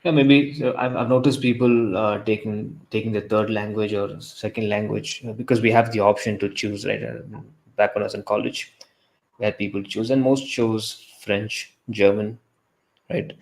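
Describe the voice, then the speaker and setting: Gravelly young Indian man chatting. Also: fairly low pitch, fairly even intonation, darkish tone, rough recording. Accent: Indian English. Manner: halting, frequent restarts and fillers. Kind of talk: casual podcast discussion.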